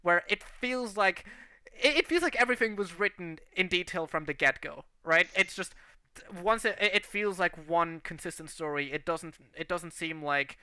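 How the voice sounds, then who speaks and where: A man's voice: high voice, animated, normal speed, sharp tone, smooth, no room sound. A man from Portugal, English not his first language, chatting on a creators' livestream about planning a long series, rambling with many restarts. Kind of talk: casual livestream chat.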